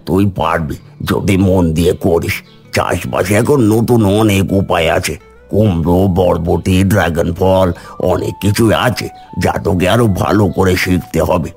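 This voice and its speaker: Guy doing an older village dad for a cartoon, deep voice, caring, earnest, bit of music behind. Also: a little slow, creaky, a little mellow. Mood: earnest persuasion. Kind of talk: cartoon story dialogue.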